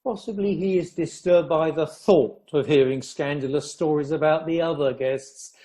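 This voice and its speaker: A man's voice: on the high side, moderate pace, mellow, close to an everyday voice. A man playing an arch upper-class house guest in a radio play, wordy and drily mischievous. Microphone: video call.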